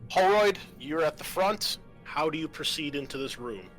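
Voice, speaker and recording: A man's voice: a little high, lively intonation, moderate pace, fairly bright tone, faint music behind, poor audio. A man acting as game master, casually narrating a tabletop game and thinking aloud as he gives rulings.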